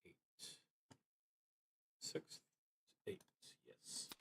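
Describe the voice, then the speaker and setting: A man streaming a solo tabletop roleplaying game, reading prompts and thinking aloud in a halting, hesitant way that trails off. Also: fairly bright tone, slight background noise.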